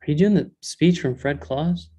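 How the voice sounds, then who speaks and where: A man's voice: a little high, normal speed, mellow, slightly rough. A man playing a blunt, dismissive, jaded character in a comedic table read.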